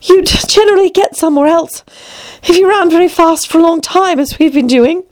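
A woman voicing a curious, polite and excitable little girl in a storybook reading. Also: very high voice, a little slow, pitched above the performer's own voice. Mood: polite, weary. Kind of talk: storybook character voice.

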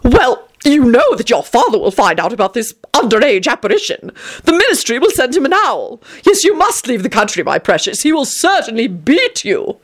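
A woman's voice: on the high side, very animated, normal speed, voice pushed up. A woman voicing a flamboyant, theatrical male vampire who shrieks and speaks in grand, old-fashioned phrases. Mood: fussy.

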